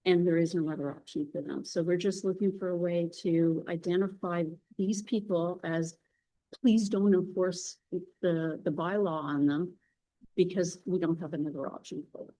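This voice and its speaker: A woman's voice: lowish voice, fairly even intonation, normal speed, mellow, low-quality recording. A woman addressing a township council as a delegate, speaking earnestly to make her case. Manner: earnest, pleading. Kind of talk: public meeting presentation.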